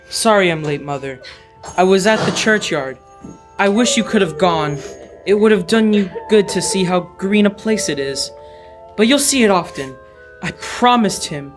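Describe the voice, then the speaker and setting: Young woman as a slow, tender, humble father. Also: mid-range voice, expressive, over music, lightly acted. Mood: tender grief. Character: gentle, grieving humble father and husband. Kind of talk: old-time radio drama acting.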